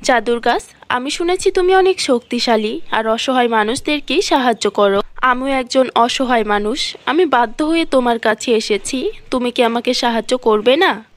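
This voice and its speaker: A woman's voice: fairly high pitch, normal speed, close to an everyday voice. A woman voicing a greedy, scheming sorceress with dramatic, calculating delivery in a cartoon fairy tale. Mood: feigned pleading. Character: scheming, greedy female sorceress feigning helplessness.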